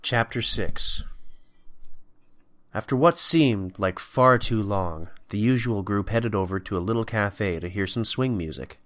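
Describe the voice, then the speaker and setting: Casting: male narrator, low voice, scripted storytelling for an audio drama. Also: measured pace, a bit bright.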